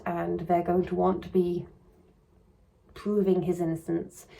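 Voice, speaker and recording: A woman talks through a true crime case in casual vlog commentary, her voice croaky and gravelly. She sits low and keeps a flat, even delivery. She rambles and hedges, restarting her sentences often. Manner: rambling and hedging, with frequent restarts. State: croaky voice coming on.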